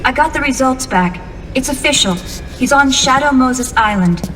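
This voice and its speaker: Earnest young officer, reverberant, high-pitched. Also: female voice, lively intonation, moderate pace. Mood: serious, informative. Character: earnest, composed young female military officer giving briefings. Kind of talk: cinematic video game dialogue. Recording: long reverb, clear background noise.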